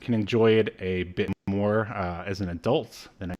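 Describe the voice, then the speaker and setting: A man's voice: on the low side, steady tone, moderate pace, a little mellow. Man casually recapping on a podcast. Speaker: podcast co-host. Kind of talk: casual recap and review chat. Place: small indoor room, video call.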